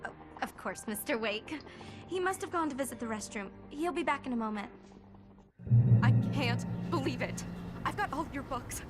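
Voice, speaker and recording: A woman's voice: high-pitched, lively intonation, moderate pace, over loud music. A woman playing a starstruck young waitress in a video game, gushing breathlessly like a devoted fan.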